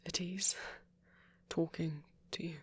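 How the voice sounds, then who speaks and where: A man voicing a gentle, self-possessed, flirty vampire in an intimate ASMR audio roleplay, soft, deliberate and very breathy. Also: fairly high pitch, fairly even intonation, heavily acted. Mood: tender, sincere. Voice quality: whispery, very breathy.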